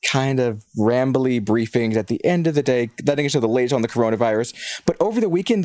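A man's voice: mid-range voice, darkish tone, no echo. A man co-hosting, moving between a news update and casual banter in a wry, jokey, colloquial way. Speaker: co-host.

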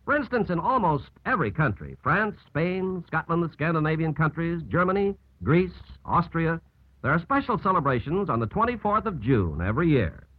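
A man's voice: fairly high pitch, very animated, normal speed, no echo. A man with an American accent reading scripted narration as a mid-century radio announcer. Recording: dry, narrow old radio broadcast.

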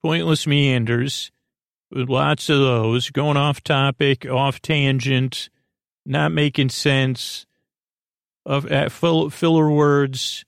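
Creaky-voiced man, rambling bedtime podcast host. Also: medium pitch, steady tone, dry recording, studio quality. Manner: halting, repetitive, trails off mid-word and circles back. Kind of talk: rambling, meandering bedtime monologue.

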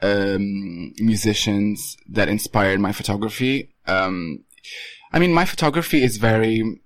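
Hesitant man from Portugal, low voice. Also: no echo. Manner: hesitant, many pauses and restarts. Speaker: guest photographer. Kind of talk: casual interview talk.

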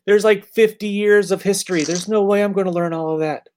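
A man's voice: sits high, fairly even intonation, fairly quick, a little mellow, a little hiss or hum. A man hosting a podcast, chatting casually about an old radio show in a blunt, irreverent way with some swearing. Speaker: podcast host.

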